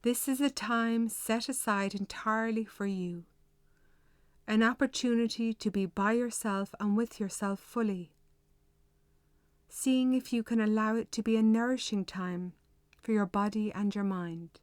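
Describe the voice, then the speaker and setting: A woman guides a meditation in a fairly high voice. Her delivery is unhurried and measured, giving gentle instructions phrase by phrase. The sound is dry, with no room echo. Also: steady tone, smooth.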